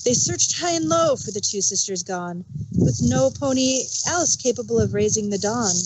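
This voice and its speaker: A woman narrator reading a storybook tale in rhyming verse with an expressive read-aloud cadence. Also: fairly high pitch, moderate pace, very noisy.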